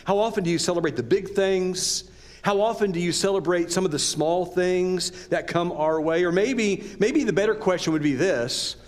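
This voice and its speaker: A man with an American accent giving a conversational sermon, repeating rhetorical questions and often checking in with his listeners. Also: fairly high pitch. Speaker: preacher.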